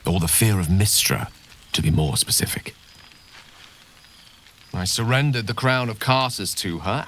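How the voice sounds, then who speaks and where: A scholarly male wizard from a fantasy game. His voice sits on the low side, and he talks in genial, eloquent, theatrical phrases. Noise is clearly present behind him. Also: fairly bright tone. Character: genial, erudite male wizard professor, self-satisfied yet warm-hearted. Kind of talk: video game voice acting.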